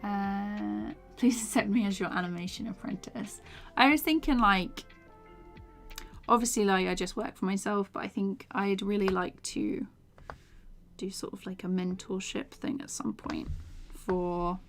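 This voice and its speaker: A woman's voice: mid-range voice, steady tone, measured pace, creaky, soft background music. Chatty young woman, tired voice. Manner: chatty, laughs often, trails off into soft mumbles while working. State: voice tired from talking all day. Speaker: animation streamer. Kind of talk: casual chat while animating on stream. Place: small home room, indoors. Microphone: desk microphone with foam cover.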